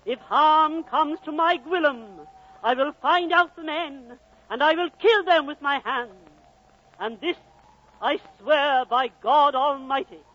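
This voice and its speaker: An actress playing a fierce, loving miner's wife in an emphatic, declamatory 1940s radio style. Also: female voice, high-pitched, slow pace, fairly bright tone. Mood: furious, defiant. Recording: dull old radio broadcast, faint audience.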